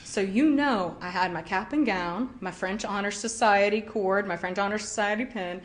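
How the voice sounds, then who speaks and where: Young woman, lively museum guide. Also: medium pitch, normal speed. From Cow Island, Louisiana. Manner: lively, with frequent fillers and laughs. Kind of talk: interview answers. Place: indoors at a heritage village, on location.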